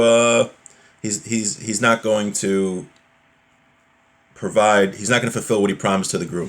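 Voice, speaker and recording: Male religious teacher, mid-pitched, going through a text in plain colloquial terms, explanatory and informal. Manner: colloquial, explanatory, with rhetorical questions.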